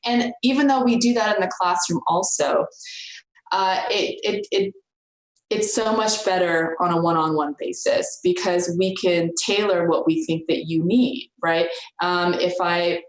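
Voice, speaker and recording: A woman's voice: on the low side, measured pace. A woman college instructor talking informally to students, earnest and encouraging, often repeating words as she restarts. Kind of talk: informal talk to students about office hours. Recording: roomy echo.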